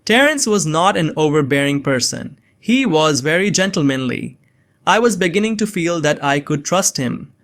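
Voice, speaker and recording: Man playing an earnest, higher-voiced young narrator. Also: very animated, moderate pace, a bit bright. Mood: earnest, uneasy. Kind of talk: first-person scripted visual novel narration and dialogue.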